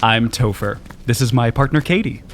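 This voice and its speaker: A man's voice: medium pitch, lively intonation, normal speed, slight background noise, natural voice. A man playing an eager, earnest new-age young father, friendly and know-it-all, in an audio comedy drama.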